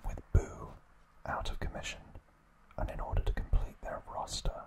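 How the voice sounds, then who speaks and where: A man hosting an ASMR video, whispering trivia in a hushed, deliberate manner with pauses. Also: low-quality recording.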